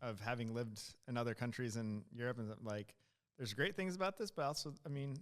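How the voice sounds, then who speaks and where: A man's voice: medium pitch, brisk. A man in his forties from Iowa, a guest telling his story in an easygoing way. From Iowa, United States. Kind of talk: casual interview.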